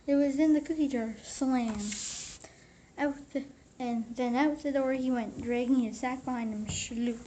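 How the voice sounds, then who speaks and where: A woman's voice: high-pitched, fairly even intonation, unhurried, mellow, low-quality recording. A woman reading a children's storybook aloud, with some background noise around the voice.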